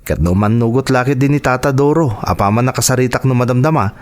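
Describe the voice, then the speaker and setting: A man's voice: mid-range voice, fast talker, natural voice. A man playing a stubborn, hard-drinking young husband and son in an emotive Ilocano drama. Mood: earnest. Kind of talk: Ilocano radio-style drama acting.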